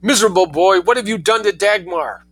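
A man reading a story aloud, voicing an excitable middle-aged mother in high, shrill, sobbing outbursts. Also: moderate pace, soft background music. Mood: frantic.

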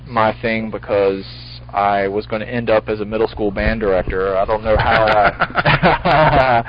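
A man's voice: fairly low pitch, a little slow. A man hosting a casual call-in radio show, rambling and full-voiced, with many restarts. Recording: lo-fi radio stream with faint hiss.

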